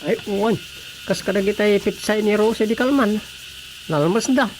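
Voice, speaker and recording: A man's voice: high-pitched, moderate pace, slight background noise, natural voice. A man playing a fussy, anxious village official with an Ilocano accent in a radio comedy drama. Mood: conversational.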